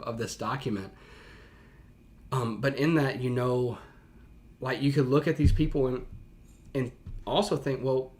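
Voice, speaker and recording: An American man with a mid-range voice gives off-the-cuff reaction commentary. He thinks aloud like a teacher working through a text, dropping into colloquial slang and animated paraphrase. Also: medium pitch. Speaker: teacher reacting to a historical reading.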